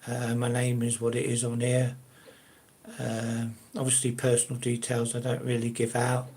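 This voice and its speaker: An older man with a Cockney-ish South London accent chats with his viewers on a live stream. He talks casually at a mid pitch, with a hesitant, rambling manner, many fillers and restarted sentences. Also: monotone, moderate pace, a little mellow.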